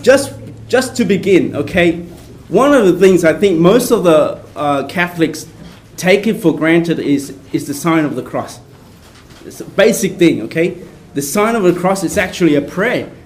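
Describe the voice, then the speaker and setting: A soft-spoken middle-aged man from Vietnam leads a prayer workshop for a small group, talking casually from his notes. His voice sits a little high. A faint hiss of room noise runs under him. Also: expressive, moderate pace. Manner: soft-spoken, relies on notes, chatty asides. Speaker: Capuchin priest leading a prayer workshop. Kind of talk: informal prayer workshop talk.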